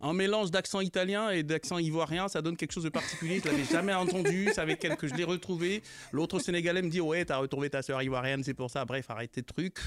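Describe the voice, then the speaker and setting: A man's voice: fairly high pitch, quick pace. A man hosting a radio culture show from a studio, jovial and teasing, laughing often with his guests.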